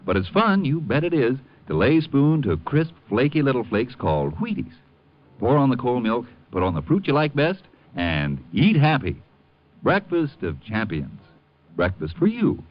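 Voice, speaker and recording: A man's voice: mid-range voice, wildly swooping pitch, normal speed. An American man delivering a folksy, emphatic 1950s radio commercial in a chummy manner. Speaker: commercial announcer. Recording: dull old radio recording.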